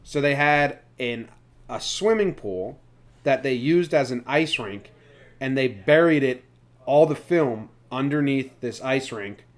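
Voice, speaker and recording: A man's voice: mid-range voice, slow. A man hosting a sports podcast in a casual, chatty style. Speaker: podcast host.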